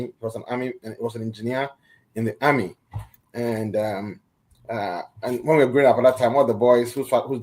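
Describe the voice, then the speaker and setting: A man's voice: medium pitch, darkish tone, low-quality recording. Middle-aged man, earnest guest, stumbles and repeats words. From Ghana. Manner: earnest, repeats and restarts phrases. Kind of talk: radio interview.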